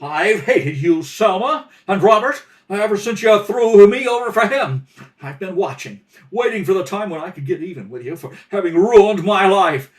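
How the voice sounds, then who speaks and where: A man playing a jealous, embittered murderer in a radio mystery, shouting vengefully and desperately. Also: high voice, lively intonation, moderate pace, distant mic, voice pushed up. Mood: bitter, vengeful. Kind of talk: old-time radio mystery acting.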